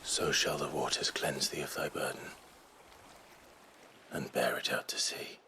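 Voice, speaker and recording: A man's voice: very deep voice, steady tone, a little slow, bright, forceful tone, a little hiss or hum, heavily acted. An actor playing a grave, earnest young swordsman in a dramatic game cutscene. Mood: solemn.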